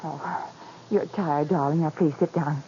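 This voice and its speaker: A doctor's devoted wife in an old radio drama. Her mellow voice is anxious and caring, gently pressing in 1940s style. The sound is muffled, with faint noise behind it. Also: deep voice, fairly even intonation, measured pace. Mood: concerned.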